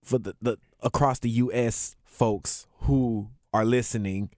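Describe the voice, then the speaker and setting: A man co-hosting a podcast in casual chat, animated and passionate, often laughing. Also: medium pitch, a little slow, dry recording, studio quality. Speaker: podcast co-host.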